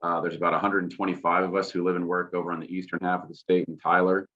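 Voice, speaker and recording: A man from Texas presenting his oil company on a webinar, steady and full of fillers, over a video call. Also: deep voice, fast talker. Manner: steady, filler-heavy explaining. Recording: dull lo-fi video call audio.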